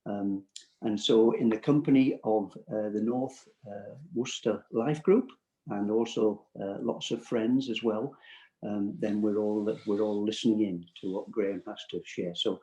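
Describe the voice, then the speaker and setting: A man's voice: lowish voice, steady tone, a little slow, warm tone, dull audio. A man hosting an interview, introducing his guest and asking questions with frequent hesitations. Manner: hesitant, many fillers, friendly. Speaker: host. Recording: echoey room.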